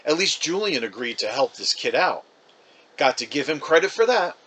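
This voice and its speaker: A man's voice: a little high, big pitch swings, normal speed, a bit bright, husky, heavily acted, poor audio. A man voicing a disappointed, scolding mother of two young boys in a storybook, stern and earnest. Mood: measured.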